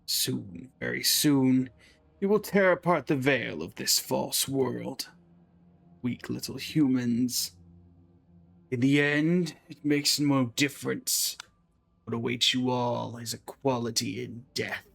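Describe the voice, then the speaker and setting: A man reading out a sinister villain's servant who gloats over his master's coming victory, in a slow, low, menacing way. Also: gravelly, fairly bright tone, slightly rough, exaggerated voice.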